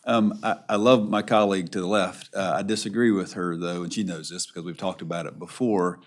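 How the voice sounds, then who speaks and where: Deep voiced council guy, friendly and polite, takes his time. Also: fairly even intonation. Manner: friendly, measured, courteous. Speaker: council member. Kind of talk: council discussion. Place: council chamber.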